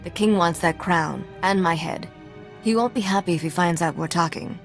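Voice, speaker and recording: An actress playing a sardonic, self-assured young smuggler who is also an exiled royal heiress, in video game dialogue. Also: female voice, lowish voice, normal speed, faint music behind, natural voice. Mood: wry, businesslike. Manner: dry, wry, self-assured.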